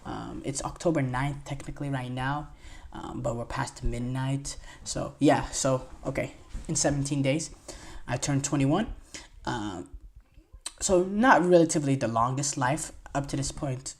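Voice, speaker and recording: A man's voice: mid-range voice, a little slow, gravelly. Rambling, enthusiastic young man podcasting. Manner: rambling and enthusiastic with many fillers and restarts. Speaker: podcast host. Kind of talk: solo sports podcast commentary.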